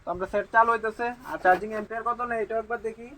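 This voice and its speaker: A man's voice: sits high, fairly even intonation, rapid-fire, sharp tone, poor audio. A man with a Tripura Bengali accent giving an informal phone repair demonstration as a technician, with repetitive, emphatic assurances.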